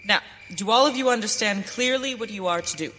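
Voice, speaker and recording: A woman playing a steady, courteous masked western hero in a radio play, with formal, deliberate diction. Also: mid-range voice, lightly acted. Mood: resolute. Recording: hall reverb, faint noise.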